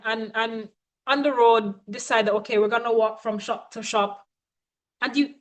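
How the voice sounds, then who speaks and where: A woman's voice: a little high, fairly bright tone. A woman coach giving a motivational teaching talk, speaking emphatically and often checking in with her listeners.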